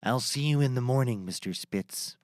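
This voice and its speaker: An actor playing a secretive male mad scientist in a scripted comedy, with drawn-out, deliberate phrasing. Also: mid-range voice, background noise, close to an everyday voice. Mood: scheming. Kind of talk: scripted comedy audio drama.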